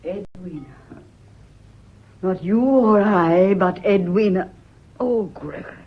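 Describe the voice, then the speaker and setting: A woman playing an anguished, bitter lady companion in a radio drama, speaking gravely in clipped, dramatic phrases. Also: medium pitch, takes it slowly. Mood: distraught. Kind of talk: vintage British radio drama acting. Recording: dull, noisy old radio recording.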